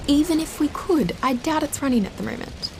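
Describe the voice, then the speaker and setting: An actress playing a calm, enigmatic female ghost who reasons matter-of-factly in a scripted audio drama. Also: high-pitched, fairly quick, creaky, a little hiss or hum.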